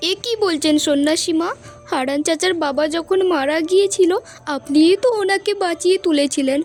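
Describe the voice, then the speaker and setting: Woman as a very high-voiced, earnest young girl. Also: fairly quick, a little mellow, soft background music. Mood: pleading. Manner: earnest, imploring, protective. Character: brave, pleading elder sister, a young village girl. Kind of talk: Bengali fairy-tale cartoon dialogue.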